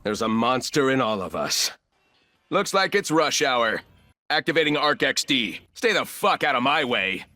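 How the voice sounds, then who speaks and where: An actor playing a ruthless, arrogant corporate executive turned combat operator in a video game, speaking in clipped, commanding, coolly condescending lines. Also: male voice, medium pitch, sing-song, normal speed, sharp tone, faint music behind. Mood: urgent, forceful. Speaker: playable military shooter operator.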